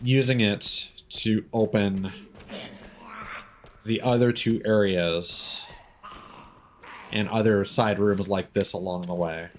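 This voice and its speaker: A man's voice: lowish voice, fairly even intonation, slow pace, pauses often, a little hiss or hum. A man giving casual live gameplay commentary as a streamer, thinking aloud as he plays. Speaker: game streamer.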